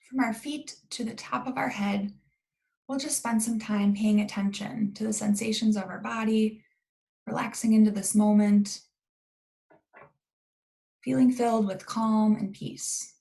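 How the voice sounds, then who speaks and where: A woman guides a meditation in a calm and gentle manner. Her voice often settles into a pronounced creak. She speaks without hurry, leaving long pauses between short phrases. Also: on the high side, rough recording.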